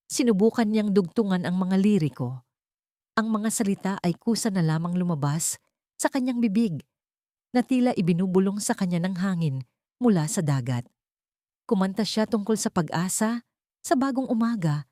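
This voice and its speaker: A woman's voice: on the low side, dark tone, no echo, studio quality. A woman narrating a scripted dramatic story as an audiobook storyteller.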